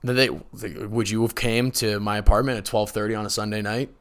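A man in his twenties with a raspy voice, co-hosting a podcast in a loose, rambling way, often laughing. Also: fairly low pitch, level tone, fairly quick. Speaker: podcast co-host. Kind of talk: casual chat.

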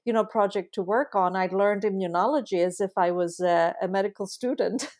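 A woman's voice: mid-range voice, brisk, a little mellow. Hesitant woman, guest on a talk show. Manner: hesitant, many fillers and restarts. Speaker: guest, translation company founder. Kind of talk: conversational interview answers.

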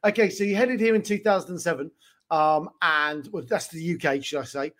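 A man's voice: fairly high pitch, normal speed, a bit bright. A man hosting a podcast interview, enthusiastic and rambling, often laughing. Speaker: podcast host. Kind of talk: conversational interviewing.

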